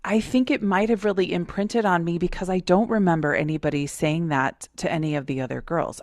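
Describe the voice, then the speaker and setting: A woman hosting a podcast, talking casually in a loud, outspoken voice. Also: low voice, no room sound, clean studio sound. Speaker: podcast host. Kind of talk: casual conversation.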